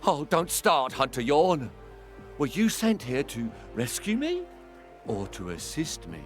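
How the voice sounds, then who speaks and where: An actor playing a pedantic, excitable scholar of clan lore in a fantasy video game, theatrical and teasing. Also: male voice, a little high, a little slow, faint music behind. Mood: excited, teasing. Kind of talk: video game cutscene acting.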